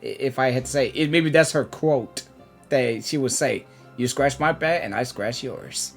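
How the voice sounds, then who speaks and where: A woman giving excited, casual commentary as a game reaction host, in a halting, repetitive way. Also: rumbling low, creaky, soft background music.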